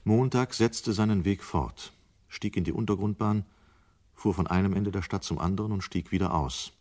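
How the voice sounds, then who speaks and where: A man reading scripted narration for a 1970s German radio drama in a measured, sober manner. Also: low voice, darkish tone.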